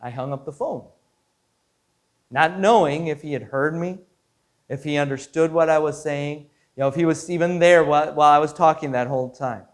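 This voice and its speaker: A man with a slightly high voice speaks as a pastor sharing a devotional story. His delivery is earnest and measured, with phrases set out one at a time and the odd repeated word. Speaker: Lutheran pastor.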